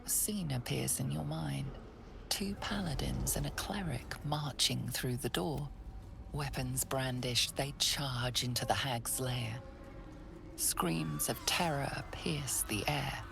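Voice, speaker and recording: An actress playing the grave storyteller of a dark fantasy game, narrating in a measured, hushed and ominous way. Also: female voice, extremely low pitch, creaky, noisy background, full character voice. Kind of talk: scripted second-person game narration.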